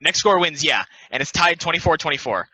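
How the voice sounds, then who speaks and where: A man playing an excitable, earnest young videographer who chases games, in an amateur play reading. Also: fairly high pitch, brisk, no room sound, voice pushed up, exaggerated voice. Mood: excited, earnest. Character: excitable young male storm-chasing game videographer. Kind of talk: amateur play table read. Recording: dry, dull lo-fi audio.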